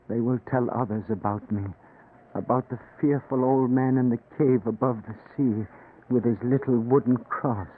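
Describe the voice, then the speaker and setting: A man's voice: medium pitch, lightly acted. An actor playing a brooding Breton fisherman turned hermit, speaking earnestly in 1940s radio drama style. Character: fearful old ascetic hermit, once a strong Breton fisherman. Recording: noisy, narrow-band 1940s radio recording.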